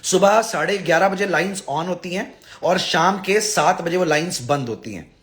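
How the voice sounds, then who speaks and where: A man's voice: sits high, normal speed, fairly bright tone. A man addresses followers on a live stream, blunt and exasperated, scolding and pleading with emphatic repetition. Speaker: visa advisor and live-stream host.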